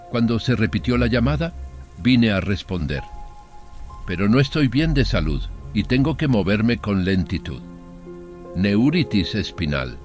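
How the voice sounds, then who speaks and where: Man as frail elderly gentleman, lowish voice, very varied intonation, cultivated, apologetic, horror audiobook, faint music behind. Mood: courteous, weary. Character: frail, tall old Southern gentleman, courteous recluse.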